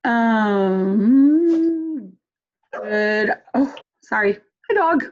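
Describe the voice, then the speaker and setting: A woman's voice: a little high, lively intonation, drawn-out words, a little mellow. A woman academic advisor answering questions in a casual chatty way on a video call. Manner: chatty, many fillers. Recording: dull lo-fi video call audio.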